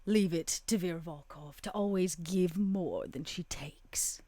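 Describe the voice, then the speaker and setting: A scheming vampire power broker acted by a woman, speaking in a lowish, closely recorded voice. She is theatrical and deliberate, teasing her way through florid lines in a tabletop horror game. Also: mellow, no room sound. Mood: sly, proud. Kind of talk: tabletop roleplay in character.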